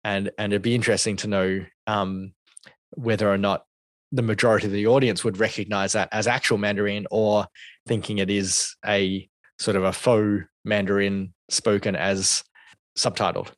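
Low-voiced man musing over a film. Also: little pitch movement, moderate pace, no room sound. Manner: thoughtful, hesitant, many restarts. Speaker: podcast co-host. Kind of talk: casual film review discussion.

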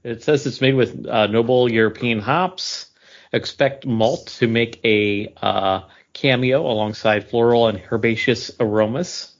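A man with an average-pitched voice talks casually on a podcast. He feels his way through descriptions hesitantly and in a meandering way. The sound is a bit dull, as on an internet call. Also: fairly even intonation, a little slow. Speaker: podcast co-host. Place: remote voice call.

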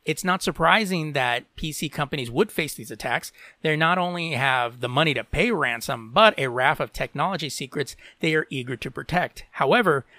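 A man's voice: a little high, moderate pace, few pauses, bright, forceful tone, no echo. A podcast host from Latin America, a man who reads tech and entertainment news aloud and breaks off into opinionated commentary peppered with "you know".

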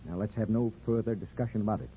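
An actor playing a gruff, sentimental middle-aged small-town father in a folksy, grumbling manner, in 1950s radio drama style. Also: fairly low pitch, steady tone, quick pace, mellow, a little hiss or hum, lightly acted. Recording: muffled 1950s radio broadcast, music.

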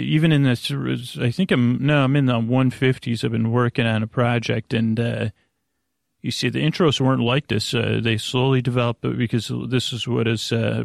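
A man hosting a sleep podcast with a rambling, improvised monologue, meandering and halting as he drifts into tangents. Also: medium pitch, creaky, no echo, clean studio sound. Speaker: podcast host.

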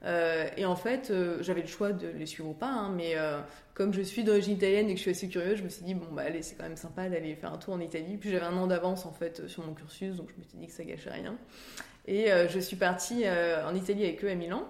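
Woman in echoey room, lowish voice. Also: steady tone, quick pace, darkish tone. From France. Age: 30s. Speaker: interview guest, career-change coach and author. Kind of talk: conversational personal storytelling. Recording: roomy, echoing sound.

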